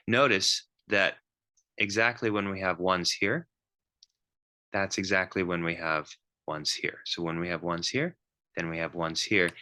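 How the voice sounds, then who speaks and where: A man's voice: low-pitched, sharp tone, smooth. A man giving a recorded math lecture, thinking aloud with frequent ums and restarts.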